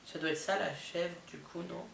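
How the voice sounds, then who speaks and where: A woman's voice: on the low side, fairly even intonation, moderate pace, gravelly. Stuffy-nosed young woman chatting in a roomy space. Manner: chatty, lots of fillers and amused asides. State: has a head cold, nasal. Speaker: vlogger. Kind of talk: casual chatty vlog, reading out summaries with reactions. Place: indoors, not her bedroom. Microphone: camera mic. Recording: roomy, distant camera mic with faint hiss.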